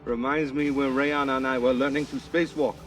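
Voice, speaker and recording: A man's voice: mid-range voice, steady tone, fairly quick, a bit bright, distant mic, soft background music, natural voice. An actor playing an easygoing, joking male spacer crewmate in a video game. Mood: amused, casual. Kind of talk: video game cutscene acting.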